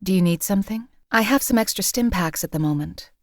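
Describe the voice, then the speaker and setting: A woman's voice: mid-range voice, brisk, no room sound, natural voice. A woman playing a composed female assassin for hire in a video game, speaking in a dry, matter-of-fact way. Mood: businesslike. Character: cool, lethal female assassin companion for hire. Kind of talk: video game companion voice lines.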